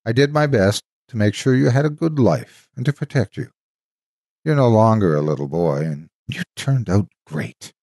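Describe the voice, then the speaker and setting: A man's voice: on the low side, lively intonation, normal speed, a little mellow, no room sound, clean studio sound. A man voicing a devoted, protective soldier's ghost in an earnest, solemn horror storytelling reading. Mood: tender.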